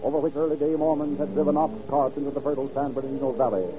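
A man's voice: medium pitch, flat delivery, racing, dull audio, over music. An American man working as a 1930s radio announcer, reading scripted narration in a formal, declamatory way. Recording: old 1930s radio broadcast recording.

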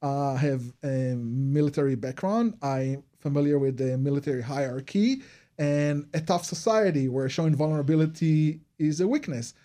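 A man's voice: on the high side, moderate pace, warm tone. Israeli-accented man explaining his craft. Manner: earnest, explanatory, non-native phrasing. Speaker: guest, advertising and PR agency head. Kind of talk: radio interview answers.

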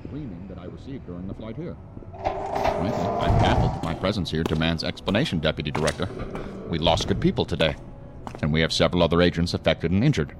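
An actor playing a stern, indignant senior federal agent in an audio drama, speaking formally and gravely. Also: male voice, deep voice, fast, very noisy, lightly acted.

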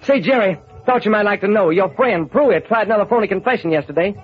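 An actor playing a weary, skeptical city police detective in an old radio crime drama, brisk and colloquial. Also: male voice, high voice, breathy edge, faint music behind. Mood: resigned. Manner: brisk, colloquial, matter-of-fact. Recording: muffled vintage radio recording.

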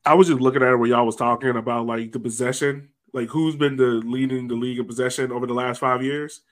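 A man's voice: medium pitch, steady tone, fast. A man on a casual sports podcast panel, talking in a blunt, colloquial, jokey way. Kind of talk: casual soccer discussion.

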